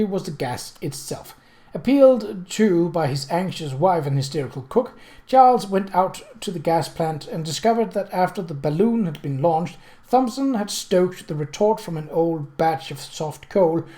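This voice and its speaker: A man's voice: a little high, mellow. A man reading a period novel aloud as scripted narration. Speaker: audiobook reader.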